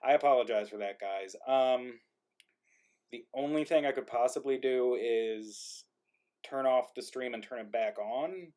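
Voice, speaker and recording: A man hosting an online live stream in casual chat, thinking aloud in a halting way as he goes. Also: mid-range voice, darkish tone. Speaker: host of an online live-streamed play reading. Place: remote group video stream.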